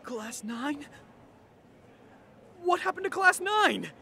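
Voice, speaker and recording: A performer in an anime fan dub playing a sardonic teenage high-school boy, dramatic and disbelieving. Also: sing-song, takes it slowly, vocal fry, sharp tone, slight background noise, full character voice. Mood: panicked.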